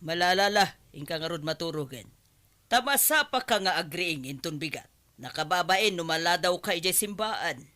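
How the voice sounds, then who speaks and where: Woman acting a stern, bright-voiced mother. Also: fairly low pitch, expressive, moderate pace. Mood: scolding. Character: stern, scolding mother of a grown son. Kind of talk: radio drama acting.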